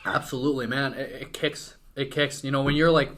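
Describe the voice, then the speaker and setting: A man's voice: medium pitch, steady tone, a little fast, background noise. A young man from Western Ukraine, a native Ukrainian speaker, chatting casually and informally as a podcast guest. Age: young adult. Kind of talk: casual conversational interview.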